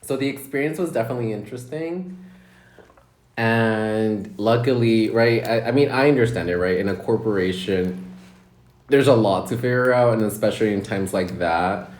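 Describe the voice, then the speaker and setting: A man's voice: fairly low pitch, normal speed, a little mellow. A man co-hosting a casual podcast, telling candid personal stories and laughing often. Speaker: podcast co-host. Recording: echoey room.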